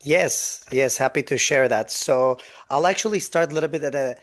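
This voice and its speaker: A man's voice: medium pitch, normal speed. A man, a guest on a business podcast, telling his story in an earnest, eager way over a video call. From Turkey. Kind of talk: interview storytelling.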